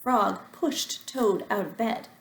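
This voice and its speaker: A woman teacher reading a storybook aloud to young children, explaining simply with deliberate phrasing. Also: on the high side, vocal fry, echoey room. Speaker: first-grade teacher.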